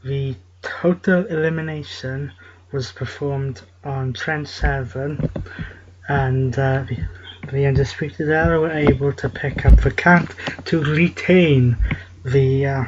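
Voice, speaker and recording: A man hosting a casual talk show, recapping results at a halting, steady pace. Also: medium pitch. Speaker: show host. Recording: lo-fi with loud hiss.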